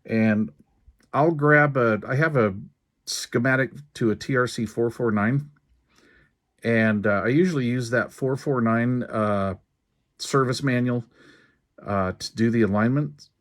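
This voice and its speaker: A man casually talking through a hands-on repair demonstration, informal and folksy, thinking aloud in a halting way. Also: medium pitch. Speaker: radio repair technician and video host. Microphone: handheld camera while working.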